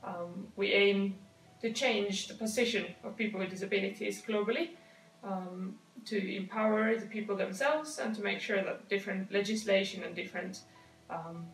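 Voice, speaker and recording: A woman in her thirties from Finland introduces herself in a matter-of-fact way, her voice gravelly. The mic sits at a distance in an echoey room. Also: medium pitch, moderate pace. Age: 30s. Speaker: human rights advisor. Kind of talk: self-introduction, talking to camera. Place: indoor room.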